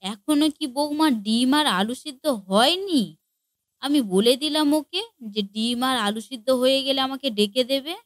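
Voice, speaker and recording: A woman's voice: sits high, animated, moderate pace, no room sound, studio quality. A woman voicing a nagging, exasperated middle-aged mother-in-law in a comedy cartoon, with a sarcastic, lamenting way of talking. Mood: alarmed. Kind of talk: Bengali comedy cartoon dialogue.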